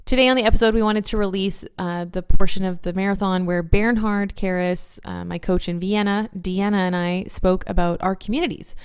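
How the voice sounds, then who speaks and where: A woman's voice: medium pitch, fairly even intonation, brisk, few pauses, clean tone. A woman podcast host and classical musician reading a scripted, upbeat, promotional intro.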